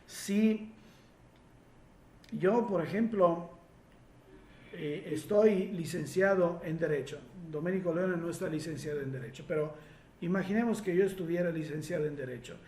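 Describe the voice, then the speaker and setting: A man from Italy speaking Spanish with an Italian accent, a regression therapist answering listener questions in a reflective, explanatory way with many pauses. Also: on the high side, dark tone, reverberant, slight background noise.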